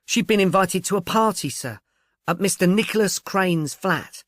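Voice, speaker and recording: A man's voice: sits high, moderate pace, no echo, studio quality, pitched above the performer's own voice, lightly acted. A man voicing a timid, deferential working-class young woman in an audiobook, speaking in a hesitant, polite, earnest way. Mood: helpful, deferential. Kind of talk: audiobook character dialogue.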